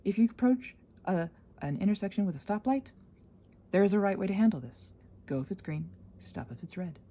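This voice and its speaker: A woman's voice: medium pitch, animated, a little fast, warm tone. A woman rambling casually and unscripted, scattered and self-deprecating, often trailing off, sounding tired and congested with a sinus infection. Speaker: solo host of a rambling audio channel.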